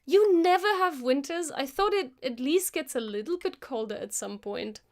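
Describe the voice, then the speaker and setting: A woman hosting a live stream, casually talking through a software tutorial as she works, thinking aloud into a close microphone. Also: high-pitched, animated, normal speed. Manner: casual, chatty. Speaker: host of an animation live stream.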